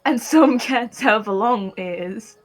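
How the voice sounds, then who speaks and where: A woman's voice: on the high side, slow, faint music behind. A woman casually commentating on a friend's game in a playful, teasing manner. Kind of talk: casual chat.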